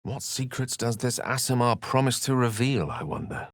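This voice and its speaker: A man's voice: mid-range voice, animated, moderate pace, no room sound, clean studio sound, close to an everyday voice. An actor playing an eloquent, learned wizard in a video game, articulate and bookish, wry and earnest in his florid phrasing. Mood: pensive. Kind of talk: scripted video game character dialogue.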